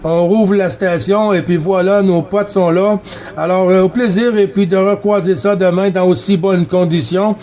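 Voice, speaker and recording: High-pitched Quebec man on CB radio. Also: steady tone, moderate pace, dark tone. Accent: Quebec French. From Quebec, Canada. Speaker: amateur CB radio operator running contacts. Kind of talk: casual radio chat.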